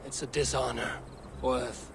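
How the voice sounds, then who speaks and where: An actor playing a resolute, honourable Viking warrior leader in a dramatic video game cutscene, grave and deliberate. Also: male voice, medium pitch, flat delivery, gravelly, noisy background. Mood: solemn, persuasive. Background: dramatic game music.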